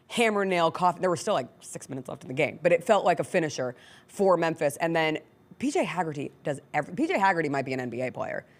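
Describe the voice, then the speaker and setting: A woman hosting a sports talk show, giving articulate, detailed conversational commentary. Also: deep voice.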